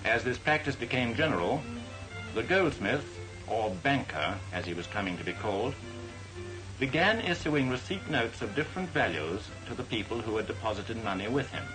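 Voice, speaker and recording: A man giving formal, measured, didactic narration in the style of a 1940s educational film. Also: mid-range voice, very animated, poor audio. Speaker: documentary narrator. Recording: vintage 1940s film soundtrack. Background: music.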